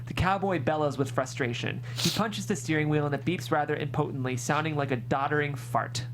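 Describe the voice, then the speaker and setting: A man's voice: fairly high pitch, brisk, noisy background. A man reading stage directions as scripted narration at a screenplay table read.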